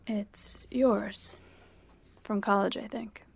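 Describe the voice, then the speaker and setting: A woman's voice: mid-range voice, steady tone, slow pace, creaky, husky, exaggerated voice. An actress playing a stern, commanding female editor-in-chief in an audio drama. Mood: dry. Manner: cold, firm, commanding.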